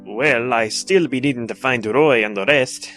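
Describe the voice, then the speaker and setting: A man's voice: medium pitch, normal speed, lightly acted. A man voicing a stern, dutiful male dwarf cleric in a cartoon fandub. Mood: earnest. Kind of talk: animated comic fandub acting. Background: prominent music.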